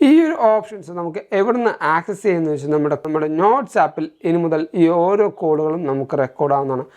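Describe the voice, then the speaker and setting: A man's voice: on the high side, fast, darkish tone. A man with a Malayali accent, hosting a tech walkthrough in an explanatory, step-by-step way and mixing in English terms. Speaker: tech reviewer, host. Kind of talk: scripted-feeling tech tutorial narration.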